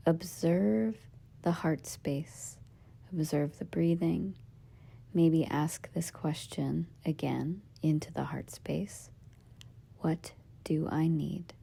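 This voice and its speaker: Hushed, slow woman guiding meditation. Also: sits low. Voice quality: soft, breathy, husky, half-whispered. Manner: gentle, soothing, measured instructions in short phrases with pauses. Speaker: yoga nidra meditation teacher. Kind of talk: guided meditation.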